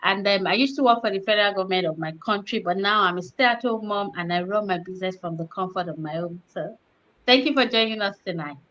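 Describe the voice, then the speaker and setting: A woman's voice: mid-range voice, fast, fairly bright tone, dull audio. A woman introducing herself on a live video call chat, cheerful and friendly.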